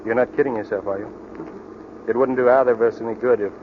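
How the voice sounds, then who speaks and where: A muffled, faintly noisy old radio recording carries a man acting a young doctor in love. He talks very quickly, with a brisk, earnest manner in 1940s drama style. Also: mid-range voice, steady tone, lightly acted. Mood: brisk, intent.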